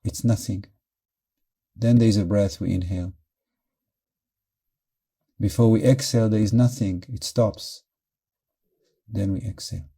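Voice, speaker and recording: Very mellow, soft-edged male meditation guide. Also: fairly low pitch. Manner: calm, measured, short phrases with pauses. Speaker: meditation teacher.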